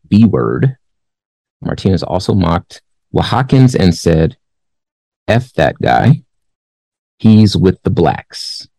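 A man in his forties from the Los Angeles area, hosting a commentary show. He reads a news story aloud, then gives his views in a measured way with many pauses. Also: deep voice, vocal fry, mellow, dry recording, low-quality recording. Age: 40s. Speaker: show host.

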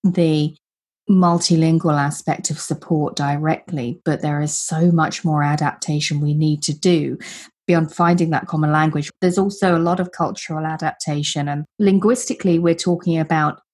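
A woman's voice: sits low, fairly even intonation, moderate pace, darkish tone, breathy edge. A woman hosting a podcast conversation, affirming her guest and asking tag questions. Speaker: podcast host. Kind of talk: conversational interview talk.

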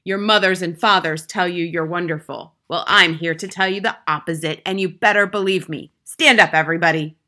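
A woman's voice: fairly low pitch, steady tone, a little fast, hardly any pauses, sharp tone. Woman acting a bellowing, bullying tyrant headmistress. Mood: barking command. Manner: barking, bellowing insults.

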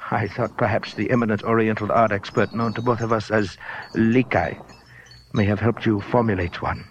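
A man's voice: lowish voice, fairly even intonation, moderate pace, lightly acted. Man acting a suave, menacing crime boss, old noisy recording. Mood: smug, probing. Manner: ornate, precise, politely menacing speech. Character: fat, urbane, sinister male Chinese underworld dealer. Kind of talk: 1940s radio noir acting. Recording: muffled, noisy old 1940s radio recording.

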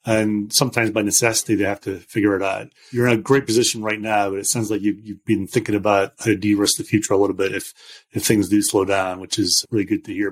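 A man from Scotland, hosting a casual interview in a friendly, chatty way. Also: lowish voice. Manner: friendly, conversational, reads poll options aloud. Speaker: podcast host.

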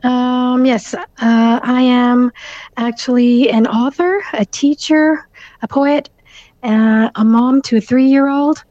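Mellow-voiced woman, dull lo-fi audio. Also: sits high, fairly even intonation, slow, dry recording. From Canada. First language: Urdu. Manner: thoughtful, many fillers, soft laughs. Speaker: guest author. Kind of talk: casual interview answers.

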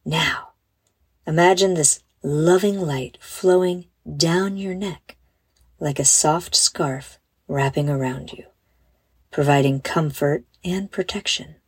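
Female meditation guide for kids: lowish, unhurried, gentle and encouraging, recorded close and dry. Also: breathy edge, dry recording. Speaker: host and meditation guide for children. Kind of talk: guided meditation, scripted narration.

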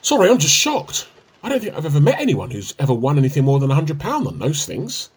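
An actor playing an evasive, sheepish husband with a dry wit in a radio play comedy, hesitant and teasing. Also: male voice, fairly high pitch, huge pitch swings, quick pace, breathy edge, exaggerated voice. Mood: surprised, curious.

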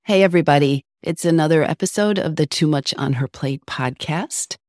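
A woman's voice: sits low, darkish tone. Slightly scratchy woman, podcast host. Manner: earnest, coaching, slows down on key points. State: sore throat. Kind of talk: solo advice podcast.

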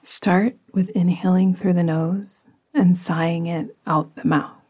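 Slow, husky, breathy woman meditation guide. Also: fairly low pitch, steady tone. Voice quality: soft, breathy, partly whispery. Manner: soothing, gentle, unhurried. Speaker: yoga nidra meditation guide.

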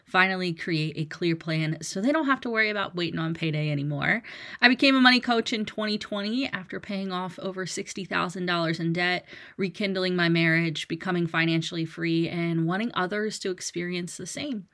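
A chatty woman in her thirties talks through her solo podcast in a slightly low voice, a little nasal from a cold. She is lively and jokey, tossing in playful asides as a money coach speaking to listeners. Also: fairly quick.

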